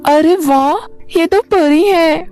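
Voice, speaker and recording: A woman's voice: very high voice, slow, a little mellow, voice pushed up, full character voice. A woman voicing an eager, polite, kind-hearted young schoolgirl in a storybook fairy tale. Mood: amazed. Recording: music bed under the voice.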